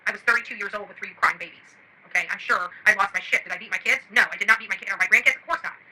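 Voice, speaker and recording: A woman's voice: mid-range voice, rapid-fire, pushed and bright, poor audio. A middle-aged woman giving unscripted commentary to her online channel's audience, blunt and combative, with salty language.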